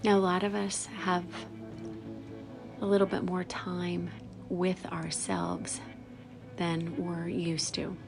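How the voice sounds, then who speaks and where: A woman leading a guided meditation, speaking softly and soothingly in short phrases with long pauses and breathy, whispery endings. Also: on the low side, flat delivery. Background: background music.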